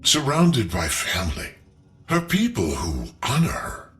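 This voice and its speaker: An actor playing a sly, principled rebel leader in a video game, wry and pointed in manner. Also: male voice, mid-range voice, huge pitch swings, a little slow, a bit bright, soft background music. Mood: earnest, challenging. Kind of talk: video game character acting.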